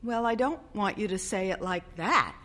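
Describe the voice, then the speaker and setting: A woman voicing a young newlywed wife who chatters in a quick, breathless, pleading way during a short story reading. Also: mid-range voice, expressive, a bit bright, a little hiss or hum, voice pushed up, natural voice. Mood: wounded.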